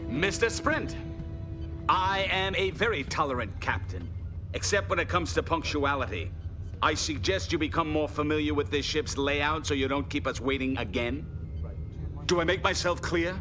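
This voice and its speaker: A man's voice: a little high, moderate pace, close to an everyday voice. Actor as exacting male starship captain; commanding, clipped delivery, music underneath. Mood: stern. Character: stern male starship captain, demands punctuality.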